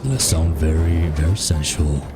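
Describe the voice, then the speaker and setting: A man doing an exaggerated deep, sensual streamer voice, dropped far below his usual register. It is low, smooth and cozy, and music plays underneath it. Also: steady tone, normal speed. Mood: sultry. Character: deep-voiced sultry male streamer greeting viewers. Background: game music.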